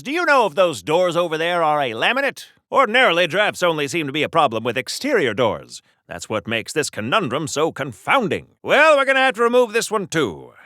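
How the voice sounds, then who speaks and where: Theatrical, bright-voiced 1940s gentleman hero. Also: a little high, no echo, studio quality, full character voice. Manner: mannered, wordy, theatrical, polite. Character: chatty, courtly, oblivious 1940s gentleman adventurer, comic superhero. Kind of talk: old-time 1940s radio-style comic acting.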